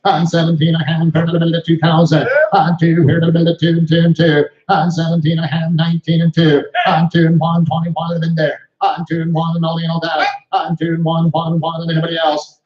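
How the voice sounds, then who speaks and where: A man working as a livestock auctioneer, calling bids in a rapid rhythmic chant. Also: fairly high pitch, monotone, echoey room, muffled recording. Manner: rhythmic repetitive bid calling. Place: cattle sale ring.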